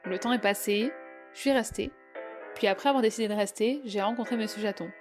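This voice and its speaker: A woman's voice: medium pitch, brisk, music in the background. A French woman from southeast France talking casually in a podcast, friendly and conversational, with frequent hesitations. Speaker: podcast host.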